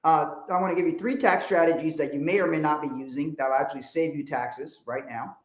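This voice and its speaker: A man's voice: on the high side, fairly even intonation, fairly quick. A man from Liberia, hosting a casual livestream about money and business, talking emphatically, repeating phrases and swearing bluntly. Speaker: financial adviser host. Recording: echoey room.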